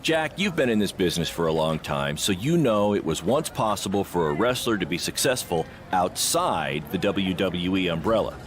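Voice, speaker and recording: An actor playing a confident, persuasive small-time wrestling promoter in scripted game dialogue. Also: male voice, sits low, animated, normal speed, few pauses.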